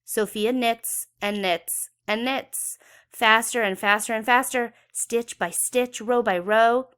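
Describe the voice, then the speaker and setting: A woman's voice: on the high side, slow, a bit bright, clean tone. A woman reading a children's story aloud expressively, with dramatic emphasis for young listeners. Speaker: children's book read-aloud educator.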